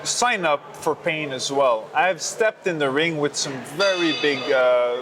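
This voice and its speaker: A young man with a French accent answers interview questions in a slightly high voice, earnest and halting, restarting his sentences as he goes. There is clear noise behind him in the recording. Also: expressive. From Canada. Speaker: kickboxer. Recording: noisy background.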